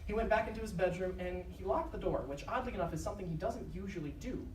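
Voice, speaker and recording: A woman's voice: on the low side, fast talker, noisy background. A woman narrating a scripted live show in a casual, conversational way with wry asides. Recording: echoey live stage, distant, music behind.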